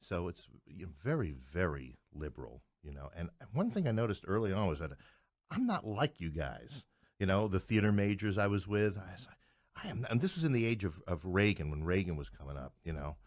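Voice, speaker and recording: Low-voiced talkative man from Queens. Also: big pitch swings, brisk, dry recording, clean studio sound. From Queens, New York City. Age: middle-aged. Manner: talkative, digressive, wryly joking. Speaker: interview guest, playwright and English professor. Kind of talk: anecdotal interview conversation.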